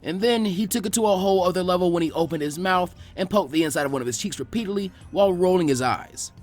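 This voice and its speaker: A man reading fan fiction aloud as an expressive scripted narration. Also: high-pitched, quick pace, soft background music.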